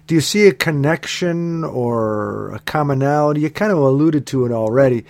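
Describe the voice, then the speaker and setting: A man hosting a radio interview, asking long measured questions with many fillers and restarts. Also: mid-range voice, vocal fry, dry recording. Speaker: radio host.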